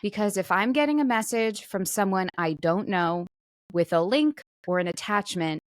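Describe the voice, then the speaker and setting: A woman's voice: medium pitch, lively intonation, normal speed, dry recording, clean studio sound. A woman co-hosting a podcast in casual comedic chat, frank and wry in a conversational way. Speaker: podcast co-host.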